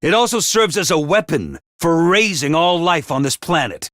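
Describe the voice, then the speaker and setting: A man voicing an excitable, theatrical mascot commander of a military school in a video game, speaking emphatically. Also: fairly high pitch, normal speed, no echo, clean studio sound. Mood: solemn explaining. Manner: theatrical, emphatic, swinging from grave to bombastic. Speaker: commander addressing students. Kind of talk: animated video game voice acting.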